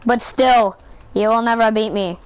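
A woman voicing a boastful, taunting old goat villain who is now a giant T-Rex, in a high-pitched voice in an amateur game machinima. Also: steady tone, unhurried, clean tone, lightly acted.

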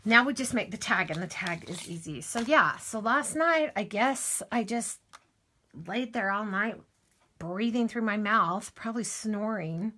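A middle-aged woman with a hoarse morning voice, chatting casually while demonstrating a craft project. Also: mid-range voice, unhurried, sharp tone. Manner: chatty, rambling asides while working. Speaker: crafting tutorial host.

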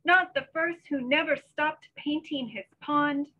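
Female poet, middle-aged, high forceful voice, unhurried delivery, slightly dull video-call sound. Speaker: poet reading own work. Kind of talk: poetry reading with informal introductions. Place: small bedroom at home. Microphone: computer mic on a video call.

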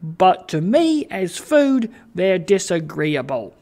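A kindly, poor old father from a storybook, acted out by a narrator, with a creaky, gravelly voice and an unhurried pace. He is cheery and eager, rambling on and tripping over his own words in a flustered way. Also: mid-range voice, darkish tone. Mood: cheerfully insistent. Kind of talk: dramatised storybook reading.

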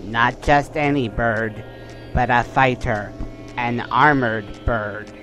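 A man's voice: medium pitch, steady tone, takes it slowly, fairly bright tone. A man playing a self-loathing winged birdman warrior in an audio drama, solemn and formal. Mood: reverent. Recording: music behind the voice. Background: dramatic music.

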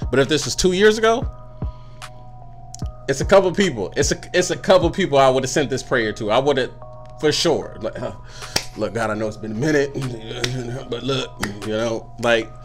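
A man's voice: mid-range voice, a bit bright. Young man giving slangy, laughing reaction commentary. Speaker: music reaction YouTuber. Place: small room indoors. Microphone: studio mic on a boom, headphones on.

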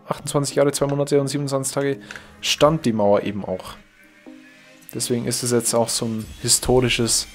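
A young man from Germany, a gaming YouTuber chatting casually over his gameplay, rambling and correcting himself, reacting loudly to the game. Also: mid-range voice.

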